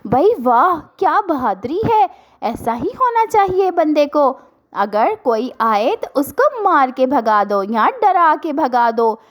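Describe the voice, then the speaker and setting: A woman's voice: high voice, sing-song, takes it slowly, full character voice. A woman voicing an earnest, childlike little bird in a children's cartoon story. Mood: admiring.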